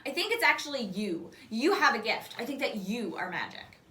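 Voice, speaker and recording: A woman's voice: a little high, sing-song, normal speed, sharp tone. A woman voicing an earnest, matter-of-fact young girl explaining things in a children's story. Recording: echoey room, distant microphone.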